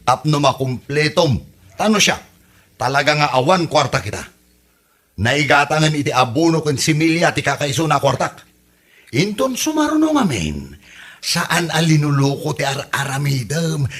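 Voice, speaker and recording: A man's voice: on the high side, sing-song, normal speed, fairly bright tone, husky, soft background music, exaggerated voice. A man with an Ilocano accent playing an exasperated, worried village husband and father in a comedy radio drama. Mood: exasperated scolding.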